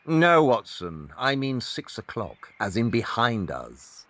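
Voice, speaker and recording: An actor playing a shrewd, self-assured detective with a clipped, didactic manner in an audio drama. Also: male voice, mid-range voice, huge pitch swings, slow, fairly bright tone, exaggerated voice. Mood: dry, unruffled.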